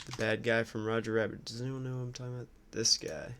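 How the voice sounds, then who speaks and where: A man doing casual political reaction commentary, sardonic, incredulous and quick to swear. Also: medium pitch, little pitch movement, a bit bright, smooth. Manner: sardonic, incredulous, foul-mouthed. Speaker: streamer commenting on news clips.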